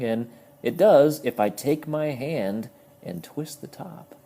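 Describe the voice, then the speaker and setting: A man's voice: mid-range voice, mellow. A man giving a casual, explanatory toy review, friendly in tone and sometimes stumbling over his words.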